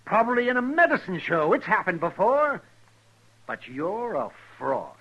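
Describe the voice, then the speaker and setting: Crusty, high-voiced old western doctor. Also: male voice, big pitch swings, moderate pace, pushed and bright, slightly rough, dull audio, exaggerated voice. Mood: indignant. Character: crusty older frontier town doctor, proud, sardonic, indignant. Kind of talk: 1950s western radio drama acting. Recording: vintage 1950s radio broadcast.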